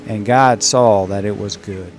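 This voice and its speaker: Man reading out solemn narration like scripture, really emphatic and declamatory, guitar music clearly behind him. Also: on the low side, fairly even intonation, measured pace.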